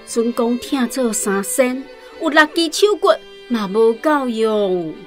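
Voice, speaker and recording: A woman's voice: a little high, expressive, slow pace, a little mellow, soft background music. An actress with a Taiwanese accent playing a sorrowful, plaintive woman recounting household toil in a radio drama.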